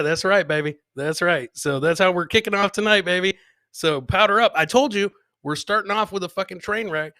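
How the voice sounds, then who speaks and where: A bright, punchy male voice, a little high, recorded dry and close. He hosts in loose, slangy live chat, talking straight to the listeners and circling back over his own phrases. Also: dry recording. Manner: slangy, repeats himself, addresses listeners directly. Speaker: podcast host. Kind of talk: casual live chat with viewers.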